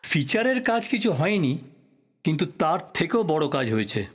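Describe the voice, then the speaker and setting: A man playing a thoughtful, polite writer probing a death in an audio drama, speaking in a measured, reflective way. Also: a little high, very animated. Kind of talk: Bengali audio drama acting.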